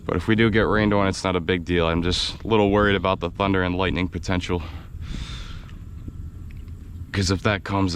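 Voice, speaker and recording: An American man with a slightly raspy, worn voice, chatting excitedly while fishing from a kayak outdoors, and laughing often. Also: low-pitched, slight background noise. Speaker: fishing video host. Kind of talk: casual on-the-water vlog narration. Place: outdoors on a kayak in a windy swamp.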